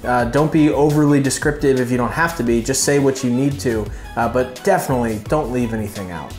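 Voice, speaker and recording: A man in his thirties with a medium-pitched voice talks straight to camera as an instructor. He is conversational and emphatic, explaining things informally. The lapel-miked voice carries noticeable room echo, with faint music behind it. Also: brisk, a little mellow. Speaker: flight instructor and video host. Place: small furnished living room indoors. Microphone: lapel mic.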